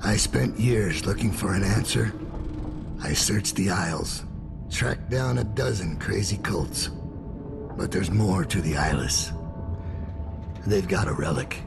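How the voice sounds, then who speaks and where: Gravelly, deep-voiced weary old assassin. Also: male voice, music in the background, full character voice. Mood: grave, urgent. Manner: grave, deliberate, in short phrases. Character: weary, fading old male assassin mentor, urgent. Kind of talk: dramatic video game dialogue.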